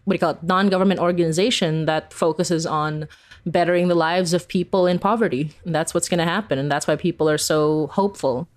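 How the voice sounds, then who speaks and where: A woman's voice: lowish voice, steady tone, brisk. A woman talking casually as an interview guest, informal and giggly, peppering her sentences with "like". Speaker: interview guest, podcast creator.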